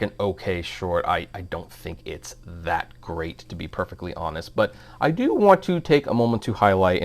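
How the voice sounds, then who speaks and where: Guy doing a casual film review, medium pitched voice, chatty and opinionated. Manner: conversational, informal, opinionated.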